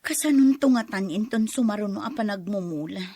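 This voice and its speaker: An actress playing a struggling younger sister who worries about money and pleads for help, in a drama. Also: female voice, mid-range voice, animated, fairly quick, dark tone, no room sound. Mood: worried. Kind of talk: radio drama acting.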